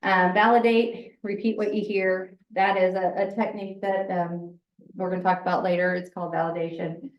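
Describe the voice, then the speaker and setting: Loud, chatty woman teaching in an echoey room. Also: little pitch movement, moderate pace. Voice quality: lower voice. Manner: loud, chatty, laughs often. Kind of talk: informal workshop talk. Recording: echoey room, distant mic, dull sound.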